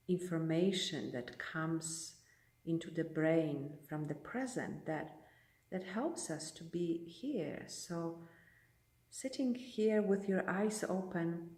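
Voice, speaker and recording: A woman leading a guided meditation as a teacher, speaking in a gentle, unhurried way with long pauses. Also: lowish voice. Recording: roomy echo, distant microphone.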